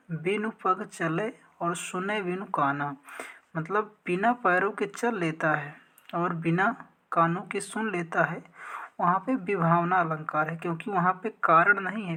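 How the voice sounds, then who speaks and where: Woman tutor going thru practice test questions, lowish voice, asks then answers herself. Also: fairly bright tone. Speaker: teacher, exam coaching instructor. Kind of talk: explaining practice quiz questions step by step.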